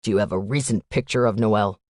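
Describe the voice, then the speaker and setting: A woman voicing a curt, businesslike male FBI agent in an audiobook, pitching her voice down. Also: fast, darkish tone, dry recording, studio quality.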